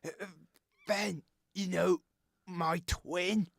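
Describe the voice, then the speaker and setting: Troubled radio drama outcast, very gravelly, slow, halting stammer. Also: male voice, sits high, fairly even intonation, no echo, studio quality, full character voice. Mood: nervous, dreamy. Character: troubled, scarred man who talks to his dead twin.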